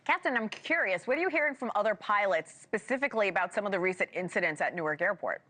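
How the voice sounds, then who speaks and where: A woman's voice: mid-range voice, expressive, fast, a bit bright. A woman anchoring a broadcast news interview, putting polished, direct questions to a guest.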